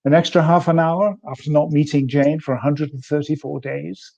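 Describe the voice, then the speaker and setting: A man reading his own poem in a measured way, pausing between phrases as he lists images. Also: a little high, fairly even intonation, gravelly, a little mellow, lo-fi sound. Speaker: poet. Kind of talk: poetry reading.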